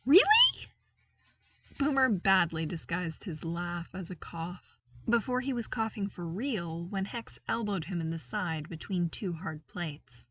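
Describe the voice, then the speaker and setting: A woman's voice: fairly low pitch, measured pace, a bit bright, far from the mic. A woman reading a scripted story aloud as an audiobook-style narrator. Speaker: podfic narrator.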